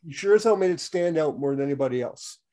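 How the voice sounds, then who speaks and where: A man in his thirties co-hosting a casual podcast while sick, his voice starting to go. He talks in a fairly high register for a man, rambling through plenty of fillers and restarts. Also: expressive, quick pace, dark tone. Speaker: podcast co-host. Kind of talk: casual wrestling podcast chat.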